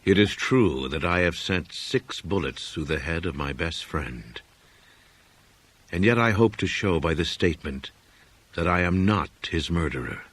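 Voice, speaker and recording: A man with a low, somewhat husky voice reads a horror story aloud. His delivery is solemn and deliberate, in the measured style of scripted audiobook narration. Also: expressive, fairly bright tone, dry recording. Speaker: audiobook reader.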